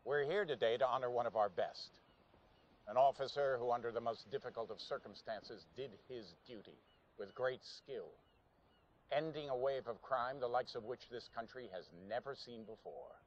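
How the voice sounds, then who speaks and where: An actor playing a solemn American president in his sixties, giving a measured, formal speech with emphatic pauses and an American accent. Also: mid-range voice, highs cut off, natural voice. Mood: solemn praise. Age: 60s. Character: stately, solemn older male American president. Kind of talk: formal televised speech, acted.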